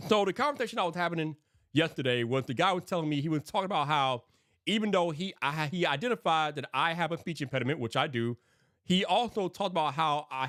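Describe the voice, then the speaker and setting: Tech streamer, man with a lisp, slightly high voice, earnest and rambling, dry close mic. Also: fairly bright tone, no room sound. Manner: earnest, rambling, sometimes hypes viewers. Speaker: tech YouTube creator live streaming. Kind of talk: casual livestream talk to chat.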